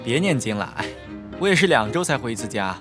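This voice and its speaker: A man plays an excitable young male student in an audio drama, quick to get flustered and indignant. His mid-pitched voice leaps up and down dramatically. Light music sits behind it. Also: natural voice. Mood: flustered, placating. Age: young adult. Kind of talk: campus audio drama acting.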